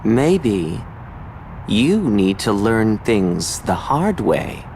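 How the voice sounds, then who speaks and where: A man playing a brooding, poetic villain in a video game, measured and theatrical. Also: fairly low pitch, sing-song, darkish tone, a little hiss or hum, full character voice. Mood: scornful. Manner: theatrical, long-winded, measured. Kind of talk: scripted video game drama acting.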